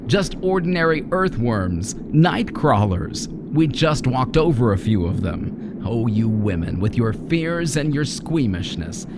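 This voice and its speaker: A man's voice: mid-range voice, sing-song, slow pace, hardly any pauses, very noisy. An actor playing an irritable, domineering older husband obsessed with his work, scolding impatiently in an old-time radio horror style. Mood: scornful, impatient.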